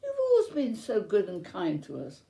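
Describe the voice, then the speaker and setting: A woman's voice: medium pitch, huge pitch swings, moderate pace, creaky, mellow, pitched above the performer's own voice. A woman voicing two devoted young daughters-in-law pleading affectionately in a children's storybook tale, earnest and emphatic. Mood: loving, pleading. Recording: roomy, echoey sound.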